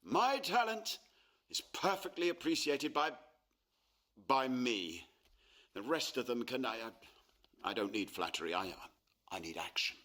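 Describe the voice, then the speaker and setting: Man playing a touchy, acerbic painter father, animated delivery. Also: mid-range voice, unhurried, pauses often. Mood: wounded pride. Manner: acerbic, theatrical. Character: touchy, proud, embittered painter father of grown daughter. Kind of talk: radio play acting.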